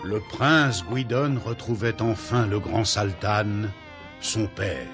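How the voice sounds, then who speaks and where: A man's voice: lowish voice, takes it slowly. A man narrating a fairy tale cartoon as a scripted storyteller. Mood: solemn storytelling. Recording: music behind the voice.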